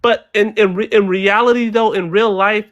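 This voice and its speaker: A man's voice: high-pitched, steady tone, moderate pace, a bit bright. A man speaking as a guest on an online debate panel, talking earnestly and restarting his sentences often. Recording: dull lo-fi audio.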